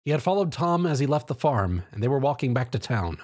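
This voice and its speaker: A man's voice: medium pitch, big pitch swings, fairly quick, darkish tone. A man narrating a scripted story as a dramatic reader. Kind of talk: scripted narration, dramatic fan fiction reading.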